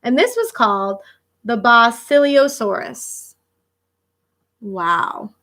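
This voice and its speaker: A woman with a high, bright voice reads a children's fact book aloud as a schoolteacher would. Her intonation swings widely, and she speaks directly to young listeners, stepping out of the text for short casual comments. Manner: expressive, child-directed, pausing to react and explain. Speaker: elementary school teacher reading a picture book.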